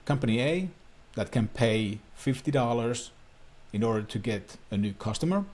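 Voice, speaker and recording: Finnish man, native Finnish speaker, marketing coach explainer, husky mid-pitched voice, earnest and plain. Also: lively intonation, moderate pace, a little mellow. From Finland. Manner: earnest, plain non-native phrasing with small restarts. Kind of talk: talking-head explainer to camera.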